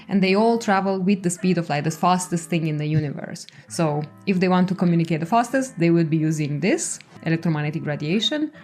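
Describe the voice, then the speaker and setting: A woman's voice: fairly low pitch, a little mellow. A young woman with a Romanian accent, a native Romanian speaker, explaining her research as an interview guest.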